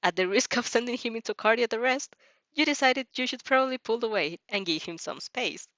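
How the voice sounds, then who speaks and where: A woman narrating fan fiction as an amateur, excitable and giggly, stumbling over words and reacting as she goes. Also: on the high side, a little fast, no echo, dull audio.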